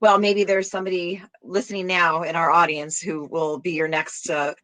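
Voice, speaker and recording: A woman's voice: on the low side, flat delivery, moderate pace, fairly bright tone, no echo, low-quality recording. A woman hosting an interview program, asking questions in a friendly, conversational way.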